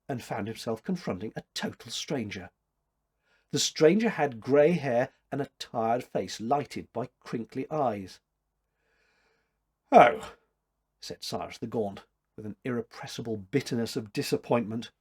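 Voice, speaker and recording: A man narrating a story aloud in an expressive, scripted reading style. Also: mid-range voice, unhurried, breathy edge.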